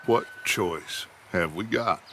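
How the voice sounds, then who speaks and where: A man's voice: low-pitched, slow pace, slight background noise, heavily acted. A man playing a charismatic, middle-aged American outlaw gang leader in a Western game, commanding and theatrical. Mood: pressing, defensive. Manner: theatrical, grandiose, authoritative. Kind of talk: dramatic Western game dialogue. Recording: loud music behind.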